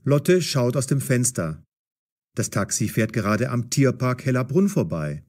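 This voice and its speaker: A man narrating an audio story for language learners with measured, clearly enunciated reading. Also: mid-range voice, very animated, a little mellow.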